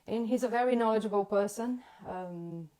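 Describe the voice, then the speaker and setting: Brazilian woman hosting a live video chat, halting and earnest, keeps reaching for words. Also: medium pitch, mellow, rough recording. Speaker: host. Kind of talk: live online conversation. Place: home in Spain. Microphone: video call.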